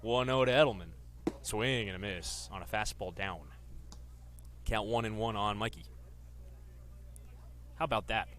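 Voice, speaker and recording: A man's voice: on the low side, measured pace, long pauses, a bit bright. A man in his twenties working as a sports announcer, delivering reporting and live baseball play-by-play commentary. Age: 20s.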